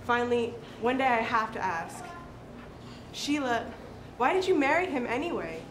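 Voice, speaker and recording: A woman's voice: fairly high pitch, animated, normal speed. Echoey recording of a woman reciting a story. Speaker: reader at a literary recitation. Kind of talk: dramatic reading of a short story. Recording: echoey room with clear background noise.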